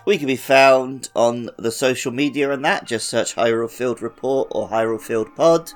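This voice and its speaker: A man's voice: medium pitch, normal speed. A man co-hosting a casual gaming podcast with wry, joking banter. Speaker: podcast co-host. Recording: faint music behind the voice.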